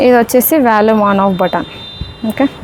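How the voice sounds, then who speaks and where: A woman's voice: medium pitch, a little fast, a little mellow, slight background noise, poor audio. A woman with a Telangana Telugu accent doing a casual unboxing demonstration, often checking in with listeners as friends. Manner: repeatedly checks in with listeners, addressing them as friends. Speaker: vlogger presenting a product.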